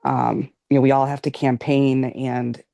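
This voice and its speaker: American female board member, low creaky voice, even intonation, earnest informal remarks to colleagues. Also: a little slow, no room sound, highs cut off. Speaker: school board member speaking in a meeting.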